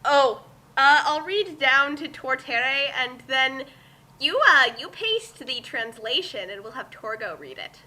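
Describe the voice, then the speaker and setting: A woman's voice: sits high, animated, a little slow, pushed and bright, low-quality recording. A woman co-hosting a dramatic fanfic reading with casual chat, theatrical when she reads. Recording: music behind the voice. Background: music.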